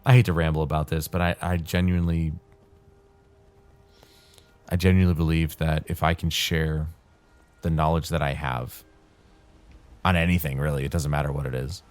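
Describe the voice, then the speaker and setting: A man casually rambling while playing a game, his throat dry and tired, with a calm, soothing way of talking. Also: very deep voice, normal speed, pauses often, faint music behind. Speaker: gaming channel host. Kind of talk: casual rambling commentary over gameplay.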